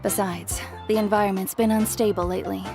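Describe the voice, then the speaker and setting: A woman's voice: medium pitch, steady tone, fairly quick, close to an everyday voice. A woman playing a strict, bossy but caring neighbour in a video game, firm and wry. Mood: stern, warning. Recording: background music under the voice.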